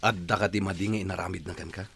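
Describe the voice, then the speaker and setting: A man playing a calm, sincere young man in an Ilocano radio drama, earnest and plainspoken. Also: low-pitched, fast, gravelly, a little hiss or hum. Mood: concerned.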